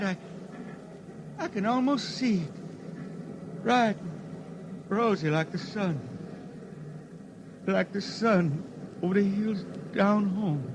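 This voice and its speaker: A man playing a folksy, stubborn old blind spaceman in a 1950s radio drama, with halting speech. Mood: weary, fading. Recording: noisy 1950s radio recording, loud rumble behind.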